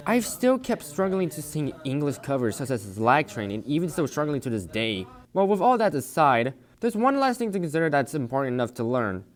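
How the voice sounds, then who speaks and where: A young man reading scripted commentary as the narrator of a video essay. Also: mid-range voice. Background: music.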